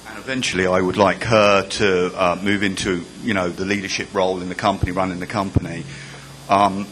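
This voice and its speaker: Middle-aged guy asking a question, low-ish, earnest, faint background. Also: little pitch movement, a little fast, fairly bright tone, a little hiss or hum, poor audio. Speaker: audience member asking a question.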